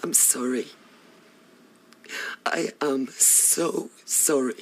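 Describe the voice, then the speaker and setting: A woman's voice: fairly low pitch, very animated, unhurried, a bit bright, slight background noise, poor audio. An actress playing a distraught middle-aged wife and mother in a TV soap, with a Northern English accent, guilty and defensive in an emphatic way. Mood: anguished. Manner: emphatic, anguished delivery. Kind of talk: naturalistic television soap drama acting.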